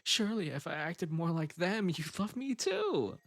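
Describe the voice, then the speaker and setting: A man voicing an unhinged, possessive male bunny spirit in an audio roleplay, coaxing softly and breaking into giggles. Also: fairly high pitch, very animated, moderate pace, gravelly, full character voice. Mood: wounded. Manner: soft coaxing delivery broken by giggles and sudden outbursts.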